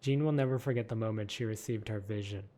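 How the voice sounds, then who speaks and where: A man reading a game character's story aloud with a steady, even delivery. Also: lowish voice, quick pace. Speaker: lore video narrator.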